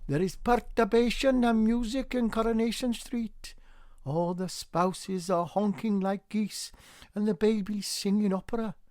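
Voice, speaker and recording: A man voicing a frantic, excitable village organist, alarmed and declamatory in a radio play. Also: high-pitched, a little slow, no echo, clean studio sound, pitched above the performer's own voice. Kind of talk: radio play verse drama.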